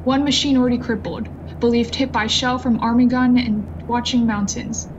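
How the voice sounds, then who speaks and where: Woman as military pilot, clipped report, slightly high, muffled, noisy engine background. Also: fairly even intonation, normal speed, close to an everyday voice. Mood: businesslike, tense. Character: military bomber pilot reporting by radio to command. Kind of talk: radio play acting. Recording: dull recording under loud engine noise.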